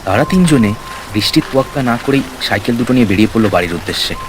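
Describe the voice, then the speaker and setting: A man's voice: mid-range voice, lively intonation, very fast, background noise. A man reading a scripted horror story as narrator, in storytelling style. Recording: rain and ambience behind the voice. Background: rain sound effects.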